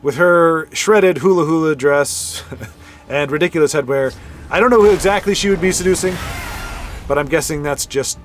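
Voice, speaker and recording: A man's voice: on the high side, moderate pace, a little hiss or hum. A man giving casual play-by-play commentary on card game matches, rambling into chatty asides and correcting himself as he goes.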